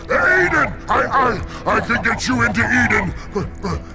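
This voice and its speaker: Creaky, theatrical gluttonous demon. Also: male voice, very high voice, very animated, unhurried, exaggerated voice. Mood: panicked pleading. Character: gluttonous, cowardly male demon, pleading and blustering. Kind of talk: video game villain voice acting. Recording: music under the voice.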